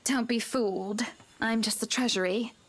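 An actress playing a wealthy, teasing, sharp-tongued young wife in a visual novel, chatty and pointed. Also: female voice, fairly high pitch, normal speed, lightly acted.

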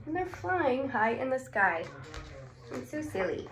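Expressive young woman reading aloud, roomy sound. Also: sits high. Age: 20s. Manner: expressive, exclaiming, asks questions and pauses before answering. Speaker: speech therapist. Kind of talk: animated read-aloud of a children's picture book, with questions and answers. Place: small indoor clinic room. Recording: roomy echo, clear background noise.

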